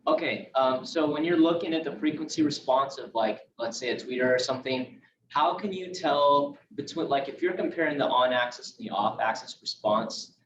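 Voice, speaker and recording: Distant echoey young man asking a question. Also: medium pitch. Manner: tentative, halting. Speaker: student audience member asking a question. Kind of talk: casual technical question. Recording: distant, echoey, muffled, noisy room microphone.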